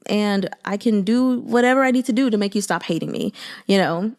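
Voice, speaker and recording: Woman, medium pitch, casual book commentary, chatty, informal, expressive. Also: brisk.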